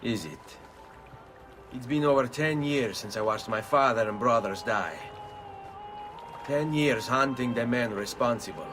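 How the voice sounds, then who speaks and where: An actor playing a brooding, vengeful young Italian assassin in a video game, speaking gravely with an Italian accent. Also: male voice, mid-range voice, expressive, a little slow, pauses often, far from the mic, music in the background, natural voice. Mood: bitter, weary. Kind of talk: video game cutscene acting.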